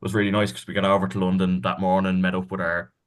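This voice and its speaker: A man answering interview questions over a video call, relaxed and hesitant, with many ums and restarts. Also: sits low, fast. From Ireland. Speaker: band member, guest.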